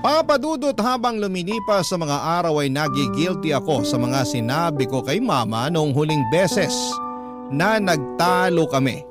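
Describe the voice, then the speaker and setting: An earnest, protective son voiced by a man narrates in dramatic first person. His fairly high voice is emotive and rides over very prominent music. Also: expressive, moderate pace, close to an everyday voice. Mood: remorseful.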